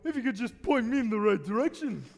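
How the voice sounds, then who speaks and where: An actor playing an excitable, rambling uncle in his late fifties in an old-time radio play. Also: very high-pitched, fast, creaky, exaggerated voice. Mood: tipsy, merry. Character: bumbling, cheerful, boozy old uncle of fifty-five-plus. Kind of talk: 1940s radio play acting. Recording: echoey hall, live stage taping.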